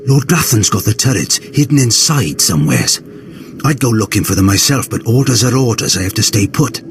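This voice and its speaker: A man's voice: on the low side, expressive, a little fast, background noise. A man playing a self-important military field operative in a video game, talking in a folksy, colloquial way. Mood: wary, scheming. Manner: colloquial, clipped asides. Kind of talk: video game character acting. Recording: music underneath. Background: game music.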